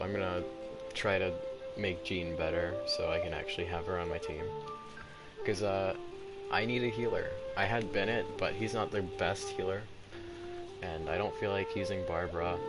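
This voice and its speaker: A man's voice: sits low, fairly even intonation, moderate pace. A man giving casual, rambling gameplay commentary, hesitant and often trailing off mid-thought. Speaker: gaming video maker. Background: game music.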